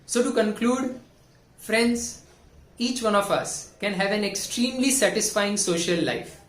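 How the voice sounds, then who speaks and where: A man's voice: sits high, expressive, fairly bright tone. Earnest man, echoey room. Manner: earnest, measured delivery with numbered points. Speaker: self-help video host. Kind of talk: scripted motivational talk.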